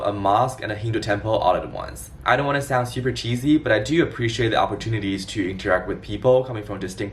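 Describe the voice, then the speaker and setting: Young guy in his 20s doing an explainer vlog, lowish voice, kinda far from the mic w some faint rumble. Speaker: vlogger. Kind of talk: scripted explainer vlog to camera.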